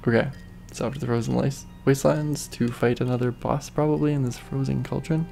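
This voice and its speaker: A hoarse man chatting through a gameplay stream, with a gravelly fry in his voice. His tone is dark and low-key, and he rambles, often trailing off mid-thought. Faint game music runs in the background. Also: fairly even intonation, a little fast. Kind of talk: casual gameplay commentary.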